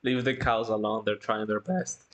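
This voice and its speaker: Livestream host, mid-range voice, casual and giggly, talks in halting bursts while reading chat. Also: mid-range voice, steady tone, slight background noise. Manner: giggly, halting, breaks into laughter often.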